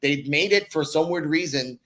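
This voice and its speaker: A man's voice: on the high side, normal speed, a bit bright. A man from the Bronx talking casually as a podcast guest, rambling and slangy, with swearing mixed in. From the Bronx, New York, United States. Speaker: guest, online content creator and podcaster. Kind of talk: casual conversational podcast talk.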